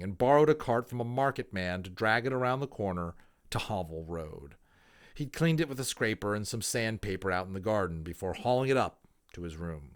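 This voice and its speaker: A man reading a storybook aloud as a dramatic narrator, expressive and emphatic, in an informal style. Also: lowish voice. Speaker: audiobook reader.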